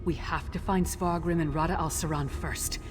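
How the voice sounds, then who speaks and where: A woman's voice: deep voice, fairly even intonation, moderate pace, natural voice. An actress playing a tough, blunt female warrior companion in a fantasy video game, terse and commanding. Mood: wary resolve. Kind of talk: dramatic fantasy game acting. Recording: long reverb, game music behind.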